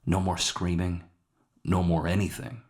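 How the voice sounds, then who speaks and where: Low-pitched man narrating a story. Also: level tone, measured pace. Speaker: author narrating his own story. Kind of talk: scripted dramatic story narration.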